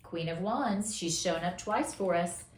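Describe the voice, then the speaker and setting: A woman tarot reader talks through a casual weekly reading in an encouraging, affirming tone. She stretches out the words she wants to stress. Her voice is going hoarse, and the room gives it a noticeable echo. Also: on the low side. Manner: encouraging, affirming, drawn-out emphasis. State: losing her voice, coughing.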